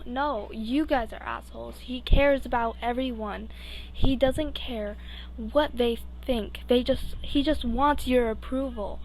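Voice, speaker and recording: A woman's voice: fairly high pitch, low-quality recording. A young woman reading fan fiction aloud informally, stumbling over words and breaking off into asides. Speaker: amateur podfic reader.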